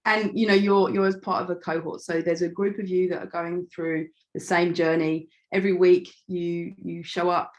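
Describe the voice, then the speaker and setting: A middle-aged woman speaks in a fairly low, slightly croaky voice. She is chatty and informal, modest about herself, and often pauses to find the next phrase as she presents as a guest on an online call. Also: level tone, poor audio. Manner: chatty, self-deprecating, hesitant phrasing. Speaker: start-up founder and guest speaker. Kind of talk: informal online talk about own background.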